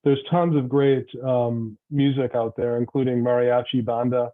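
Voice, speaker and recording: A man's voice: mid-range voice, level tone, normal speed. A man running for city council, answering a panel question in an earnest, conversational way while thinking aloud. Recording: tinny call-quality audio.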